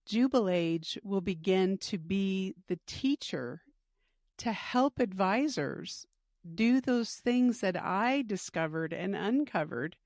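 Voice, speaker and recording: A woman's voice: mid-range voice, dry recording, studio quality. A middle-aged woman podcast host, talking about her work in an earnest, upbeat, rambling way with fillers. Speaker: podcast host and retirement educator. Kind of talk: casual interview chat.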